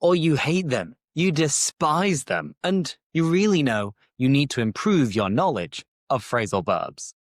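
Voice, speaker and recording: A young British man hosts an English-learning podcast, talking off the cuff in a chatty, rambling way. His voice rises and falls a great deal, with a pitch that sits mid-range for a man. The recording is close and dry, with no room echo. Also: lively intonation, measured pace, hardly any pauses. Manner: chatty, rambling, explains as he goes. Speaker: English teacher and podcast host. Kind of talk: casual unscripted teaching monologue.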